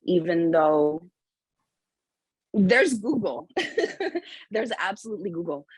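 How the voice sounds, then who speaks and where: A woman speaking as an author on a panel, giving candid opinions in a deliberate, emphatic way with a husky voice. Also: low voice, no room sound. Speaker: picture book author on a panel.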